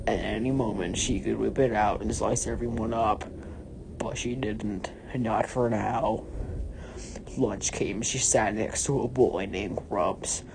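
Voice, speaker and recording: Very deep-voiced woman reading theatrically. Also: gravelly, noisy background. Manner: deliberate, theatrical phrasing. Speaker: story reader. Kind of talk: mock-dramatic scripted narration.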